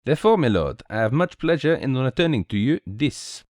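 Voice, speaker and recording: A dapper little detective played by a man reading aloud in a storybook mystery. He speaks, courteous and theatrical, his pitch swinging freely. He sounds cheerful and very sure of himself, in a dry, close sound. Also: sing-song, a little fast, darkish tone, no echo, lightly acted. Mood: placid, courteous. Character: small Belgian male detective, cheerful, courteous, self-assured.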